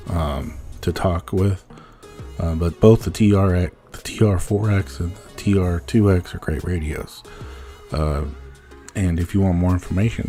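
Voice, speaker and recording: A man's voice: deep voice, dark tone. A man presenting a promotional product tutorial in a plain, informal salesman's manner. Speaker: business owner presenting products. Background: music.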